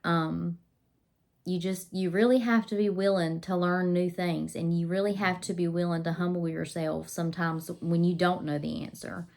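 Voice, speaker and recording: A woman's voice: fairly low pitch, steady tone, normal speed, gravelly, a little mellow. A woman vlogging about homeschooling, her voice a little scratchy and nasal from pollen, chatty with many ums and restarts. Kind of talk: casual to-camera talk.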